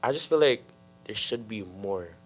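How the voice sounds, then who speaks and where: Halting teenage boy in casual talk. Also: fairly low pitch, animated, soft background music. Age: teens. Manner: halting, heavy use of "like" and "I feel like". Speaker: podcast panelist. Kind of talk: casual discussion.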